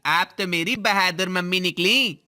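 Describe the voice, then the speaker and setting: A voice actor playing a timid little schoolboy who is scared of spiders, talking in an earnest, childlike way for an animated story. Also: medium pitch, a little slow. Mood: relieved.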